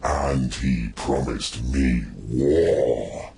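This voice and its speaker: Rumbling, extremely deep war god. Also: male voice, gravelly, background noise, heavily acted. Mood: taunting. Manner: slow, taunting. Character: hulking, scornful war god hungry for battle. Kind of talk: video game cutscene acting.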